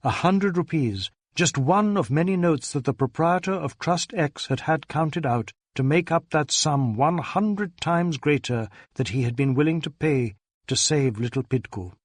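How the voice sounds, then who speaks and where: Higher-pitched man narrating, dry recording. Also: lively intonation, a little slow, no echo. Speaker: audiobook narrator. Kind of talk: scripted literary narration.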